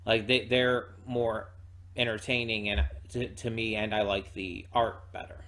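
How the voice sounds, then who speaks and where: Halting man giving casual commentary. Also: on the low side, level tone, fairly bright tone, slight background noise. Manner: halting, repeats words as he thinks aloud. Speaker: host of a reaction channel. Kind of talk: casual spoken commentary, reviewing a video.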